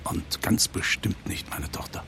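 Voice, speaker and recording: An actor playing a menacing rich older man in a German radio drama, deliberate, sardonic and coldly superior. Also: bright, forceful tone, whispered. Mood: mocking menace.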